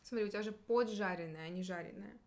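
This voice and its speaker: Fast-talking young woman tutoring. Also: medium pitch, darkish tone. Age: 20s. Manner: chatty, encouraging. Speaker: Russian language teacher. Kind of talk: casual explanatory tutoring chat. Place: small indoor studio.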